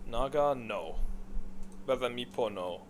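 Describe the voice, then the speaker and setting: A man's voice: medium pitch, expressive, measured pace, slight background noise. A man giving casual, unscripted, opinionated commentary as a video creator, thinking aloud and often answering his own questions. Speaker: video creator ranking game characters.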